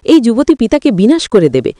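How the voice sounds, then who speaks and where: A woman's voice: on the high side, huge pitch swings, fairly quick, warm tone, dry recording, voice pushed down. A woman voicing a worried, indignant adult son in a story, confrontational and troubled.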